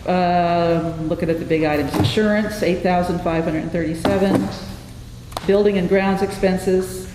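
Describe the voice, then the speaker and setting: A woman's voice: lowish voice, steady tone, moderate pace. A plain-spoken, folksy woman chairing a board meeting informally and reading out figures, self-deprecating as she goes. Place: indoor public meeting room. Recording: echoey hall, loud room noise.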